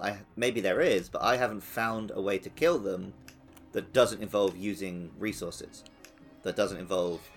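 A man co-hosting a casual gaming podcast, explaining things in an analytical, step-by-step way. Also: lowish voice. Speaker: podcast co-host. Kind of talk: casual video game discussion.

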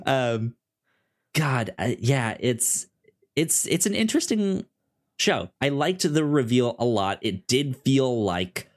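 A man co-hosting a casual TV review discussion, speaking haltingly and stammering over repeated syllables. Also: medium pitch, sing-song. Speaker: podcast co-host.

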